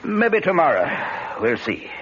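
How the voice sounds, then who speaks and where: An actor playing a sly, bossy Irish innkeeper who runs guns, speaking with a folksy lilt in an old-time radio drama. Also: male voice, fairly high pitch, wildly swooping pitch, unhurried, breathy edge, dull audio, heavily acted. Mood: folksy, wary.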